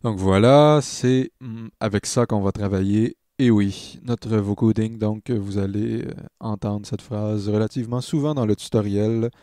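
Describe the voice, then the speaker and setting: A man with a Quebec French accent giving an informal software tutorial as a music production tutor, pausing hesitantly as he explains. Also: on the low side, steady tone, no room sound, studio quality.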